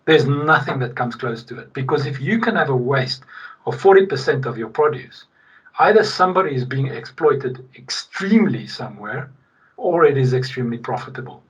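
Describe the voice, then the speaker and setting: Husky man from South Africa, distant. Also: mid-range voice, moderate pace. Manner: animated, emphatic, frequent restarts. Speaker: guest, logistics executive. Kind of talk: casual podcast interview. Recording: distant, dull remote-call sound.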